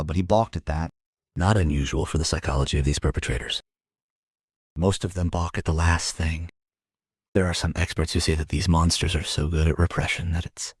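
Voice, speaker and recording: Grim crime drama detective, real deep husky voice, brooding storyteller, dry sound. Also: male voice, a little mellow, no echo. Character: grim, hard-bitten male police investigator recounting a case. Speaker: narrator and lead investigator. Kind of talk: crime audio drama narration and dialogue.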